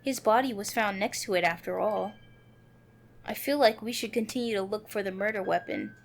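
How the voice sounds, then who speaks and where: A woman's voice: mid-range voice, animated, moderate pace, vocal fry, a little hiss or hum. A woman reading a visual novel aloud, moving between narration and character dialogue, with music playing behind her. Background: background music.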